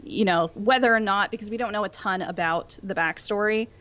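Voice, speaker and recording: A young woman co-hosts a casual fan podcast, talking at a middling pitch. She rambles through her opinions in loose, conversational speech. The audio is a little dull and lo-fi. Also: a little fast, a bit bright. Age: young adult. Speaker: podcast co-host. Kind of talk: casual fandom discussion.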